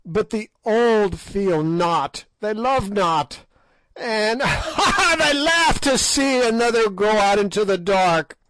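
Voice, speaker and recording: Wicked ancient witch, voiced by a man: shrill, mocking, dry close sound. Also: lively intonation, takes it slowly, fairly bright tone, no echo, heavily acted, poor audio. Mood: cackling scorn. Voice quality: shrill, cackling. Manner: screeching, mocking. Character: ancient shrieking female witch, wicked and gloating. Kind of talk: audiobook character voice.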